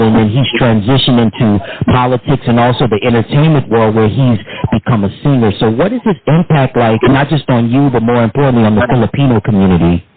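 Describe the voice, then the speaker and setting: A man hosting an interview show, asking measured, formal questions with courteous, deliberate phrasing. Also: medium pitch. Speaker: talk show host interviewer. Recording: tinny phone-like line.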